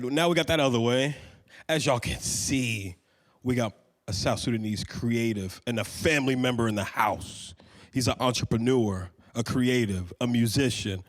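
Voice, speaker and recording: Male podcast host, medium pitch, casual interviewing style, informal, slangy wording, studio setting. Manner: informal, slangy address to listeners.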